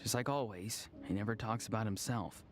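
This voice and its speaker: A man's voice: on the low side, a little fast, noisy background, close to an everyday voice. A man voicing a thoughtful companion. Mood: reflective. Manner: puzzled, reflective. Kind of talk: game cutscene voice acting. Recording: game music behind voice.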